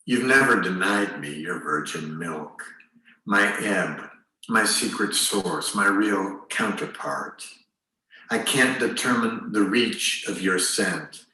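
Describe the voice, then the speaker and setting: Guy reading a poem real slow, low bright voice, super echoey room. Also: recorded from a distance. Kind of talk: measured poetry reading.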